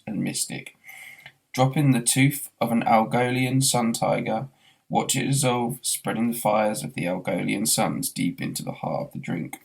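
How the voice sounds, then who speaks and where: A man's voice: medium pitch, creaky, husky, poor audio. A man reading a story aloud as scripted narration. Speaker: reader, schoolteacher.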